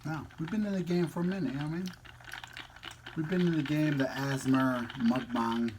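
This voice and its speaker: A man's voice: a little high, fairly even intonation, fairly quick, noisy background. A man vlogging casually over a meal, talking in an informal, slangy, teasing way. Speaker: mukbang vlogger. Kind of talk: casual chat while eating.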